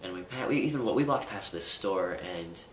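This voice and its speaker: Young man, very low voice, candid rambling vlogger, roomy distant home-camera sound with noise. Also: expressive, normal speed. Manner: candid, rambling with fillers and restarts. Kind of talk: casual personal vlog. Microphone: camera mic at a distance.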